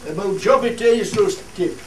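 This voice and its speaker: A man's voice: high-pitched, moderate pace, a little mellow, close to an everyday voice. A man acting a homely country singer with a Cumbrian accent, performing a comic dialect ballad in a radio play. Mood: droll storytelling. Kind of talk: dialect radio play, spoken verse with sung choruses. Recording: noisy, echoey room, distant microphone.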